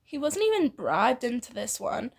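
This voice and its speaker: A woman's voice: on the high side, sing-song, normal speed, gravelly. A woman reading fan fiction aloud informally, giggly and theatrical, often breaking off into banter.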